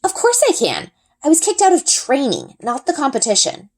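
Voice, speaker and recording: Woman as a bold girl adventurer, raised pitch, lively swings, emphatic, storybook heroine. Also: moderate pace, heavily acted. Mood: defiant. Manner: defiant, emphatic.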